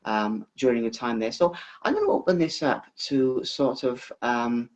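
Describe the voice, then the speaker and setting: A man's voice: mid-range voice, expressive, moderate pace, dull audio. A man hosting an informal interview in a rambling, effusive way. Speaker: interviewer, host.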